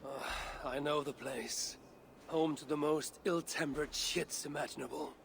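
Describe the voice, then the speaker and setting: An actor playing a weathered, wary Norse god-warrior, gravelly, grave and measured, in a dramatic video game cutscene. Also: male voice, fairly high pitch, steady tone, slight background noise. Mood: commanding.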